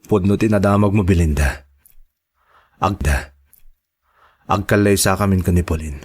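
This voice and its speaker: A man acts out an aggrieved lover with an Ilocano accent in an audio melodrama. His voice sits low and a little husky. He speaks with firm reproach, like someone laying down an ultimatum. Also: unhurried. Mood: cold, reproachful. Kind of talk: melodramatic audio drama acting.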